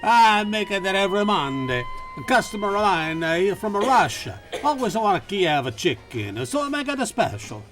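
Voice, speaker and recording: An eager Italian diner owner in a campy radio play. The voice is pitched up high, cheerful and excitable, chattering in broken English. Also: male voice, moderate pace, hardly any pauses, faint music behind. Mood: chatty, gossipy.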